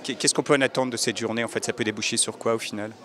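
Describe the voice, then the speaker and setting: A male interviewer with a medium-pitched voice asks short questions. He talks quickly and informally. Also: steady tone, a bit bright.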